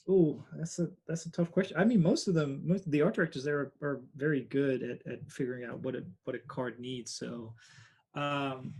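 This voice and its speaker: A man with a Dutch accent answering interview questions thoughtfully over a video call, often trailing off quieter. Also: mid-range voice, creaky. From Netherlands. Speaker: guest artist.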